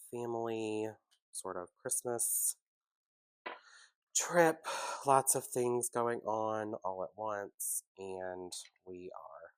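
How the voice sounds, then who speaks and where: A man hosting a casual home baking tutorial, chatty and relaxed, with a congested, sniffly, nasal voice from a cold. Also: on the low side, clear, resonant tone, dry recording. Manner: chatty, relaxed, self-deprecating asides.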